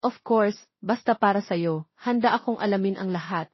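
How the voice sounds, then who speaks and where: A woman's voice: mid-range voice, moderate pace, husky, no room sound, lo-fi sound, lightly acted. A woman with a Filipino accent voicing a devoted, eager young businessman boyfriend in an audio drama. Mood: proud, sweet.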